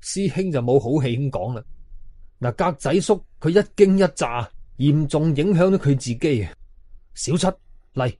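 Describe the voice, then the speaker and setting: Animated, husky male horror storyteller, Hong Kong Cantonese. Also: fairly high pitch, normal speed, no echo. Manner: animated, suspenseful telling. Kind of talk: dramatic horror story narration.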